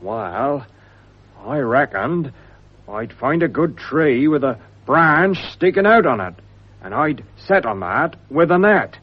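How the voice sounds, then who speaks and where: An actor playing a deferential, plucky country trapper with a husky voice and an English rural accent in a 1950s British radio drama. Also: male voice, medium pitch, animated, takes it slowly. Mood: practical, eager. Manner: respectful rustic talk. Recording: muffled vintage radio recording.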